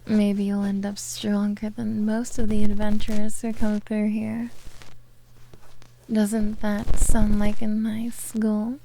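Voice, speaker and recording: A woman voicing a possessive, doting yet menacing beast-girl captor in a soft, coaxing ASMR roleplay. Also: medium pitch, completely flat delivery, a little hiss or hum, low-quality recording. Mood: doting, pleased. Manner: slow, coaxing, quietly domineering.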